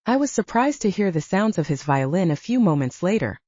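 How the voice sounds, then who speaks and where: A woman narrates an audiobook in the first person with a mellow, slightly low voice. Her intonation is lively and varied. The sound is very dry and a little dull. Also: brisk, no room sound.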